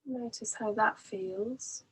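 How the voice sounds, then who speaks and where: A woman leading a guided relaxation as a meditation teacher, soothing and gently instructing in an unhurried way. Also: mid-range voice, slight background noise, low-quality recording.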